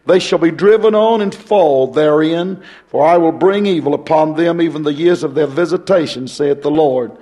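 Hoarse pastor guy, kinda high voice, folksy rambly preaching. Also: moderate pace, few pauses, dark tone. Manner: folksy, rambling, reads scripture in a rising preaching cadence. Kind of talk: sermon with scripture reading.

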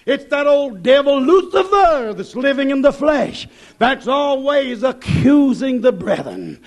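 High-pitched American man preaching with fervour. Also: very animated, measured pace. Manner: impassioned, emphatic, repeating phrases and questions. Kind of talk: fervent revivalist Pentecostal sermon.

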